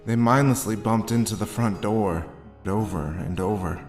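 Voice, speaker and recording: A man playing a haunted, sardonic drifter in a dark horror audio drama, moving between first-person narration and dialogue. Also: lowish voice, moderate pace, close to an everyday voice. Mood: brooding. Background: background music.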